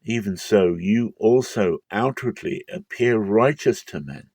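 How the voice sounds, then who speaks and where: A man's voice: fairly low pitch, measured pace, vocal fry, a little mellow, breathy edge, dry recording, exaggerated voice. A man playing a stern, indignant teacher denouncing hypocrites in an emphatic, declamatory dramatic scripture reading. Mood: scathing indignation. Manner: emphatic, declamatory, swooping delivery.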